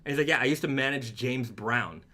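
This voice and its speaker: Male live stream host, bright forward voice at a middling pitch, easygoing and rambling product chat. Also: brisk.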